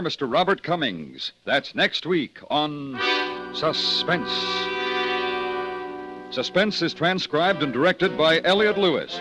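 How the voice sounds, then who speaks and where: An American man announcing a 1950s suspense radio program in a scripted style. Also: fairly high pitch. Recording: old radio broadcast recording.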